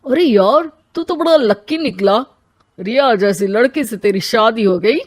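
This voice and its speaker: A woman's voice: fairly high pitch, expressive, a little slow. A woman voicing a teasing, mocking young male friend in a cartoon story, with a taunting manner.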